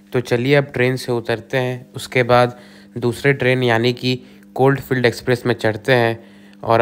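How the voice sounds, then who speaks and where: A young man, casually narrating a train travel vlog in a chatty, steady way. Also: mid-range voice. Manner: chatty, steady, plain delivery. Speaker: train vlogger. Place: inside a train and rooms. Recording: loud train and vehicle noise.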